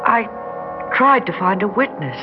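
An American actress playing an earnest, guilt-ridden woman with an anxious, halting, pleading manner in 1960s radio drama. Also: medium pitch, big pitch swings. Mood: halting, apologetic. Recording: dull 1960s radio recording, music behind.

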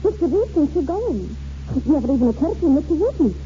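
A young sweetheart in a 1940s radio play. The voice is high, very mellow and swooping, romantic and easily exasperated, on a muffled recording. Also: female voice, very animated, fast talker, a little hiss or hum. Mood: exasperated, pleading.

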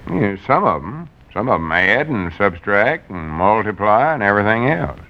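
An actor playing an eager, gullible small-town storekeeper in an old-time radio comedy, with a rural Southern accent and earnest backwoods talk. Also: male voice, on the low side, very animated, moderate pace. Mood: earnest explaining. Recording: muffled vintage radio recording.

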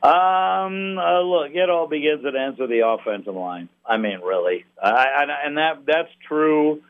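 A man on a thin phone line chatting casually about sports as a guest announcer, in a folksy, rambling, halting way that repeats words. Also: a little high, lively intonation. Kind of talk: casual sports analysis chat. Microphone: phone call.

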